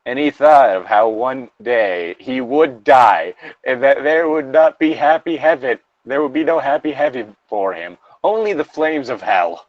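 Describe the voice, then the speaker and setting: A man's voice: mid-range voice, expressive, unhurried, no room sound. A man doing a comic read-aloud with casual commentary, irreverent and sardonic, on a slightly muffled mic. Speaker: co-host and reader.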